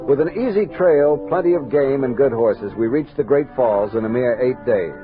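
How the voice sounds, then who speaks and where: A man's voice: medium pitch, phone-quality sound, lightly acted. An actor with an American accent playing a grave, commanding army captain who narrates his expedition journal in a radio drama. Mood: measured, reflective. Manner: measured, literary storytelling with crisp orders. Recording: band-limited 1960s studio radio recording with music.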